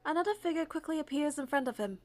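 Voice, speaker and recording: A woman narrator reading a first-person story from a script in an expressive, suspenseful, dramatic way. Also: high-pitched, fast talker.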